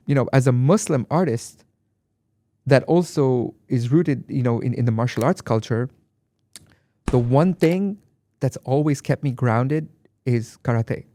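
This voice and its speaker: Reflective man with a warm voice. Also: mid-range voice, no echo, studio quality. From Dubai. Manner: reflective, emphatic, repeats phrases. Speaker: guest artist. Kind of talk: conversational interview.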